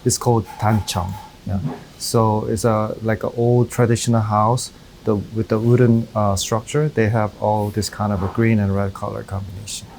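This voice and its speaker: A man in his thirties who grew up in Korea, with a Korean accent and a fairly low voice full of gravelly fry. He speaks in an interview as a chef, slow and careful, with frequent pauses to find his words. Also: flat delivery, darkish tone, a little hiss or hum, low-quality recording. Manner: slow, thoughtful, many pauses with "uh". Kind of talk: interview on a restaurant tour.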